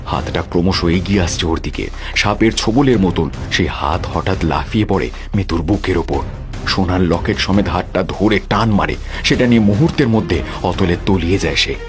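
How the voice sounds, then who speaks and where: Deep-voiced male storyteller over music. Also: normal speed. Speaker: narrator. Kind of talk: scripted horror story narration.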